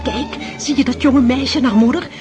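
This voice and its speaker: An actress in a Dutch radio drama playing a gossipy, sharp-tongued society lady, knowing and haughty. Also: sits high, fairly even intonation, moderate pace, music in the background, close to an everyday voice. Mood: knowing, catty.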